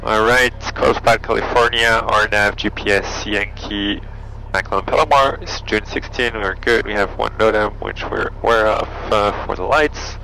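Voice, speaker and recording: A man's voice: on the low side, fairly even intonation, normal speed, gravelly, bright, forceful tone, rough recording. A man piloting a small plane, talking through procedural briefings and checklists in clipped phrases, thinking aloud. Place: small airplane cockpit. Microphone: aviation headset. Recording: engine and cockpit noise behind voice.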